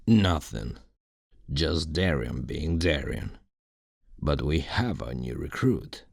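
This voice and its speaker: A man voicing a gruff, hot-tempered ex-guard turned thief, blunt and grumbling, with a gravelly voice, in a fantasy ASMR roleplay. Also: a bass voice, lively intonation, slow pace, dry recording, studio quality, exaggerated voice. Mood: teasing. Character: gruff one-eyed bearded ex-guard swordsman thief with a temper.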